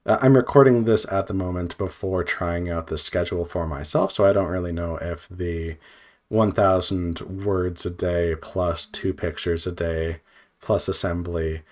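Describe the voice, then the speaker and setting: Low-pitched man rambling casually. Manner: rambling, scattered, thinking aloud; hesitant, frequent filler "like" and trailing pauses. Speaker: video creator, indie visual novel developer. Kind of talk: unscripted casual vlog monologue.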